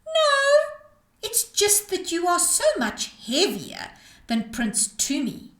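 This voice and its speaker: A woman's voice: very high voice, huge pitch swings, takes it slowly, fairly bright tone, pitched above the performer's own voice, heavily acted. A woman voicing a sheltered young storybook girl, timid and innocently frank, with wide-eyed, artless delivery. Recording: roomy, echoing sound.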